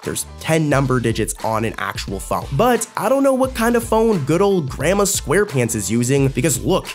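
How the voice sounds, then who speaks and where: A young man in casual commentary, chatty and easygoing, his pitch swinging up and down as he talks. He keeps calling out to viewers. Faint music sits under his voice. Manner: chatty, addresses viewers as guys. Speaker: YouTube cartoon commentator.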